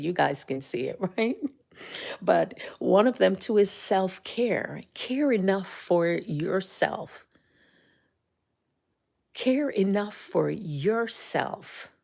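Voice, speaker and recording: Older jamaican woman, low gravelly voice, motivational livestream host, animated storyteller. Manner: animated storytelling, rises high when excited, drops to whispers. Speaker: life coach and livestream host. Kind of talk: casual motivational livestream talk.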